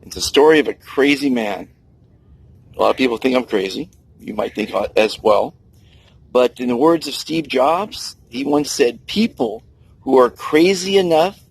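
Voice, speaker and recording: Gravelly sixty-something man preaching kindness. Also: low-quality recording. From United States. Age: 60s. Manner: earnest, preachy, emphatic with big pitch swings. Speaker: kindness vlogger. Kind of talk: outdoor personal monologue. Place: outdoors by the sea.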